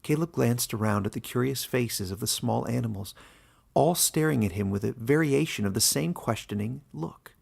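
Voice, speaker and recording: A male narrator reads a fantasy tale with a heavily creaky, husky voice. His delivery is deliberate and dramatic, in the style of an audiobook. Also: mid-range voice, darkish tone. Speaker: author and narrator.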